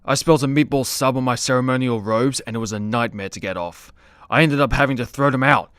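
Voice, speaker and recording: An actor playing a super-strong, easygoing superhero, casual and matter-of-fact, in a comedy radio play. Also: male voice, mid-range voice, a little fast, fairly bright tone, clear, resonant tone, dry recording, clean studio sound, natural voice.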